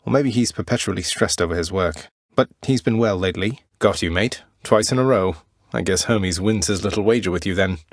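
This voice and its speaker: A young prince of the underworld from a video game, speaks in a low, closely recorded voice. He is courteous and wry, with cheeky understatement and steady determination. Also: a little fast, dry recording. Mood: polite, wry. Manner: courteous, dry, understated wit. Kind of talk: video game character voice lines.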